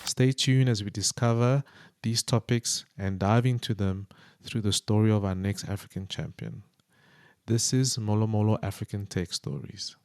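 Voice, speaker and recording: A male podcast host with a smooth, resonant voice interviews his guest. He speaks hesitantly, restarting phrases and filling pauses with um and uh. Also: on the low side, fairly even intonation, a little mellow. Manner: hesitant, many restarts and fillers. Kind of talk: conversational interview.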